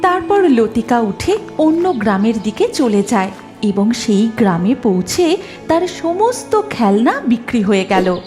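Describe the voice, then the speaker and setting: A woman giving scripted narration for a cartoon story. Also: on the high side.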